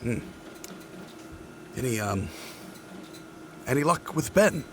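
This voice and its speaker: A man's voice: mid-range voice, sing-song, slow, a bit bright. An actor playing a supportive, concerned male university dean in a naturalistic audio drama, with ambient noise around him. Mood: tactful concern.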